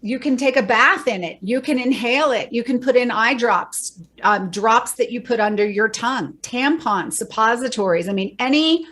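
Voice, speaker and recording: A woman's voice: a little high, normal speed, hardly any pauses, a bit bright. A middle-aged woman, a bereaved mother and educator, explaining earnestly in a podcast interview and rattling off lists of facts.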